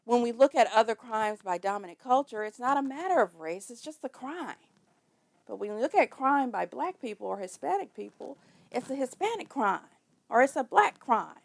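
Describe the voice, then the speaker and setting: A woman in a serious documentary interview, speaking deliberately and stressing her contrasts. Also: fairly high pitch, expressive, creaky.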